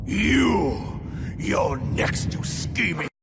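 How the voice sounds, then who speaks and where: A fierce, vengeful general from a fantasy game. His voice is thick with gravel and swings wildly in pitch. He speaks slowly and menacingly, with theatrical force. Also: big pitch swings, noisy background, exaggerated voice. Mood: furious. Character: enraged, grieving warrior general, vengeful and fierce. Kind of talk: video game cutscene acting. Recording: loud music behind.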